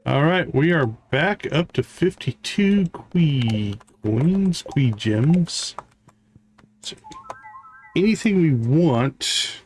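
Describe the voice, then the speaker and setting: A man's voice: fairly high pitch, expressive, drawn-out words, a little mellow, slight background noise. A man hosting a gaming livestream, thinking aloud in casual, chatty commentary as he plays.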